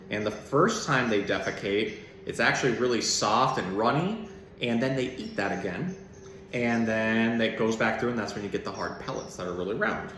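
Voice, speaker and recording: A man's voice: on the low side, a bit bright. A man giving simple, child-friendly explanations and storybook reading in the informal style of an animal educator. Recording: roomy echo, some background noise.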